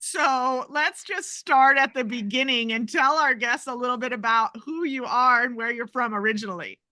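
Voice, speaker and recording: A woman's voice: a little high, moderate pace, pushed and bright, dry recording, poor audio. A woman hosting a podcast, upbeat and enthusiastic as she welcomes and introduces her guest. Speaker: podcast host.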